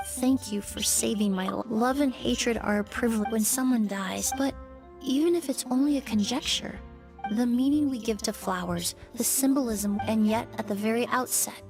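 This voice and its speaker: An actress voices a composed, thoughtful young woman in a story-driven video game. Her voice sits a little high and her delivery is measured and earnest, with music underneath. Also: natural voice. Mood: gentle, reflective. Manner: measured, explanatory. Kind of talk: video game dialogue acting.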